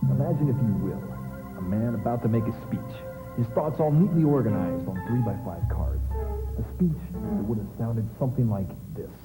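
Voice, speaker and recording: A man's voice: lowish voice, expressive, moderate pace. A man playing a brash, teasing stand-up comedian who gives a scripted lesson to an audience in a punchy, bossy showman's style. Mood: wry. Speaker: comedian presenting a training film. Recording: echoey hall, music behind.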